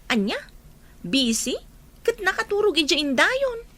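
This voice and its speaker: A woman's voice: very high voice, big pitch swings, unhurried, pushed and bright, exaggerated voice. An actress with an Ilocano Filipino accent playing a gossipy, playful village woman in a radio comedy drama. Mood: curious.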